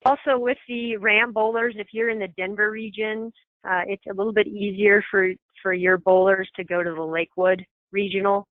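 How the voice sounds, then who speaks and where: A woman walks coaches through reminders on a conference call, chatty and full of ums and restarts. Her voice comes through a tinny phone line with a dry sound. Her pitch sits in a middle range. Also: flat delivery, normal speed, no echo. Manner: chatty, many ums and repeated words. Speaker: staff member briefing coaches.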